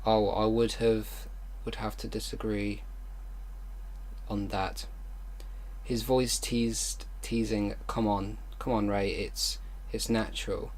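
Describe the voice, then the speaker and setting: Low-voiced man reading aloud and reacting. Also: flat delivery, darkish tone, a little hiss or hum, rough recording. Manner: halting reading, exasperated disbelieving outbursts, casual swearing. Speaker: online video host. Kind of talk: reading fan fiction aloud with exasperated reaction commentary.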